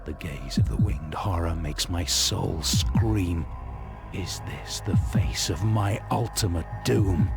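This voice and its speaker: Hardened gunman, extremely deep voice, sardonic, world-weary, brooding game narration, music behind. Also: slow pace, gravelly, full character voice. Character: cynical, hardened dead gunman stranded in the afterlife.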